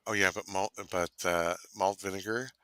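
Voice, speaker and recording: A man's voice: low-pitched, fairly even intonation, normal speed, bright, forceful tone, smooth, no room sound. A man from Canada co-hosting a casual online cooking chat, rambling and savoring his words. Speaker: co-host.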